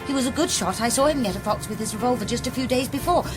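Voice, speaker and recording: A woman's voice: a little high, very fast, music in the background, lightly acted. An actress playing an earnest, well-spoken young woman confiding her troubles in a detective radio drama. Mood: defensive.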